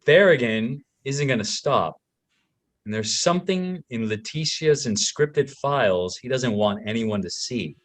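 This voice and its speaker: A man's voice: mid-range voice, lively intonation, measured pace, close to an everyday voice. A man in a screenplay table read, playing a frank, matter-of-fact coworker who supports an investigator. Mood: frank, urging.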